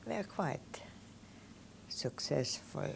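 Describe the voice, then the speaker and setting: A woman in her seventies from Austria with a Viennese German accent, German her first language, giving oral history testimony in slow, halting, simple phrases. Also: sits low, lively intonation, creaky, in a whisper. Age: 70s. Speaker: interviewee.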